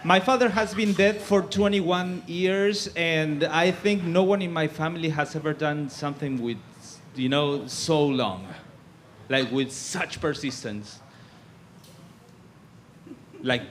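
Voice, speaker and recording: Man from Argentina, stand-up comedian, unhurried and hesitant, light hum behind him. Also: fairly high pitch, fairly bright tone. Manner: halting, filler-heavy delivery. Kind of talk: stand-up set. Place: comedy club stage with live audience.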